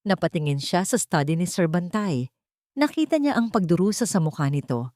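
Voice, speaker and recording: A woman with a lowish voice narrates a scripted drama. Her phrasing is measured and dramatic, with deliberate pauses. The sound is close and dry, without room echo. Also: animated, a little mellow. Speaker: storyteller, narrator.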